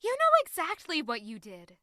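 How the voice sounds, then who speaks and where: Bright-voiced, emotional young woman, acted by a woman. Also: high-pitched, huge pitch swings, normal speed, no room sound, studio quality, full character voice. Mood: angry, accusing. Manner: intense, emotional delivery. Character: hurt, stubborn young woman confronting a royal. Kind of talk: dramatic audio drama acting with first-person narration.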